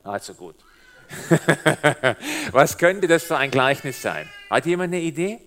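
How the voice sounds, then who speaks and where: Swabian-accented preacher with a humorous touch. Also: male voice, on the high side, takes it slowly, a little hiss or hum. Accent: Swabian German with Swiss German influence. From Swabia, Germany. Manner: lively, humorous, addresses the congregation directly. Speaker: guest preacher. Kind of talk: sermon.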